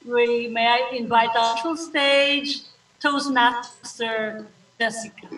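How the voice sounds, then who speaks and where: A woman's voice: a little high, steady tone, slow pace, a bit bright. A woman hosting an online club meeting in a friendly, easygoing way over a video call. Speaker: meeting host. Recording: echoey room, distant mic, faint noise.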